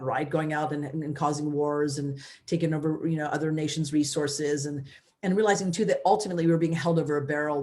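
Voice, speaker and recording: A woman in her fifties from the United States, a former mayor, telling her story in a loud, energetic way with many restarts. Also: sits low. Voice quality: loud voice, big personality. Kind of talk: casual interview storytelling.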